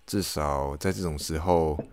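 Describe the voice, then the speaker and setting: A man's voice: extremely low pitch, steady tone, unhurried, darkish tone, slight background noise. A man podcast host delivering a scripted, reflective personal monologue.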